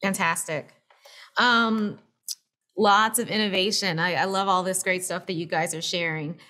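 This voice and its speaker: A woman's voice: fairly low pitch, steady tone, a little slow, bright, forceful tone. A woman in her thirties moderating a video-call panel, asking long questions in an upbeat way. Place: room at home, indoors.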